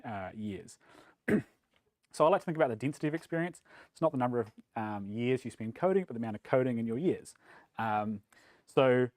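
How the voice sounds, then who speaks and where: A man from New Zealand with a New Zealand accent, giving a relaxed, self-deprecating talk at a developer meetup, with plenty of ums and uhs. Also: medium pitch, no room sound. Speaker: conference speaker. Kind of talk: casual tech meetup talk. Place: meetup room.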